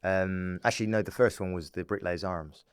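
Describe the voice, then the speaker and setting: A man's voice: low-pitched, normal speed, smooth, dry recording. A man from Dorset with an English accent, telling anecdotes as a comic guest in playful, casual chat. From Dorset, England. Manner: playful, animated riffing, often breaks into laughter. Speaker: stand-up comic, podcast guest.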